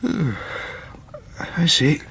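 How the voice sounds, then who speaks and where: An actor playing a composed, skeptical detective in a radio drama, polite and measured. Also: male voice, a bit bright. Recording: noisy background.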